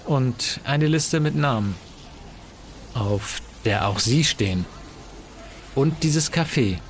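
A man's voice: medium pitch, expressive, slow pace. Guy acting polite professor in a sci-fi audio play, mid voice, bit of background noise. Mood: earnest. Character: composed, courteous male English professor, purposeful.

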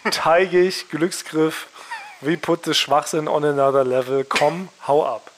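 A man's voice: on the high side, measured pace, a little hiss or hum. A man hosting a casual comedy podcast, talking in a jokey, mock-boastful way. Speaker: podcast host.

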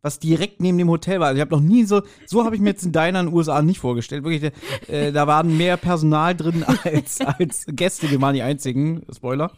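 Higher-voiced man in joking podcast chat. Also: fast talker, few pauses. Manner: joking, teasing, animated storytelling. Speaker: podcast co-host.

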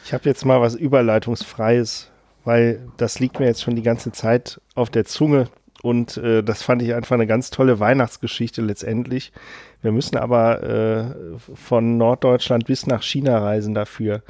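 A man's voice: medium pitch, little pitch movement, moderate pace, a little mellow, dry recording, clean studio sound. A man co-hosting a podcast who tells a story casually, full of fillers and chuckles. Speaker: podcast co-host. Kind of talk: casual chat.

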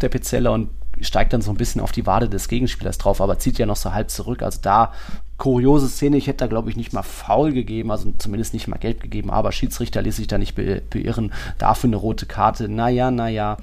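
A man's voice: fairly low pitch, steady tone, brisk, creaky. A man speaking as a podcast co-host in casual sports chat, telling things in a loose, colloquial way.

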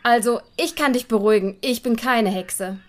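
Young princess voiced by a woman, bold, matter-of-fact, higher pitch, fairytale radio play. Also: moderate pace, close to an everyday voice. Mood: curious.

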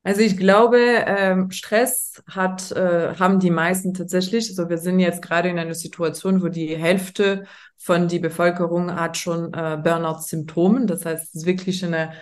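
A woman's voice: on the low side, fairly even intonation, moderate pace. A woman from France with a French accent, a native French speaker, talking as a guest in a casual interview in a thoughtful, hesitant way with frequent fillers. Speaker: guest, startup founder.

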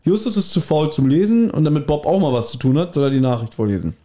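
A man's voice: fairly high pitch, animated, fast, warm tone. A man hosting a podcast, giving a casual recap partly read from his notes, with playful irony. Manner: playfully ironic. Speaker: podcast host.

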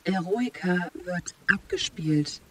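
High-pitched male voice assistant, acted by a man, very slow, impersonal, flat announcing, audio drama. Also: full character voice. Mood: impassive.

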